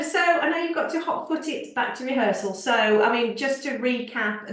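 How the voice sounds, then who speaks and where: A woman interviewer talks in a chatty way, full of "sort of" and "I mean". Her voice sounds far from the mic in a very echoey room. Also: on the high side. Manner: chatty, with many "sort of" and "I mean" fillers and frequent "yeah" backchannels. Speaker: theatre review show host, interviewer. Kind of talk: conversational interview. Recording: roomy, distant mic.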